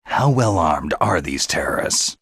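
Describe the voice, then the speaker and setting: A man voicing a gruff, sardonic veteran special forces soldier in a video game, with a gravelly, husky voice and a terse, skeptical manner. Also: rumbling low, very animated, measured pace, fairly bright tone, dry recording, studio quality, full character voice. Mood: probing, serious.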